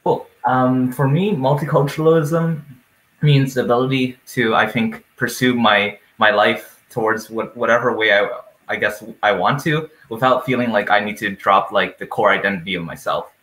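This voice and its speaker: A man's voice: medium pitch, steady tone, normal speed, fairly bright tone, reverberant, poor audio. A young man speaking hesitantly, with many restarts, in a casual video call discussion. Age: young adult. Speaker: student guest. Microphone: headset.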